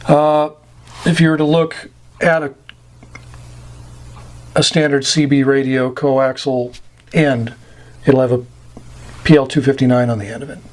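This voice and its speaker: An American man giving an informal, plain-spoken explainer of technical terms, pausing often between short phrases. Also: medium pitch, fairly even intonation, darkish tone. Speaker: instructor explaining CB radio terms. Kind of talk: informal explainer, glossary-style lesson.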